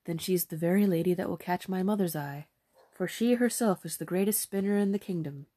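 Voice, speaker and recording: A woman voicing a kind, courteous young prince who speaks politely and a little louder than her narration. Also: fairly low pitch, fairly quick, no room sound, close to an everyday voice.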